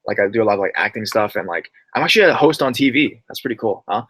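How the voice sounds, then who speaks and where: A man's voice: lowish voice, expressive, fast talker, a bit bright, breathy edge, no echo. A young adult man who reads viewer horror stories aloud and then chats loosely with his live-stream audience, full of slang. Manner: slangy, loose and chatty, calls listeners bro and dude.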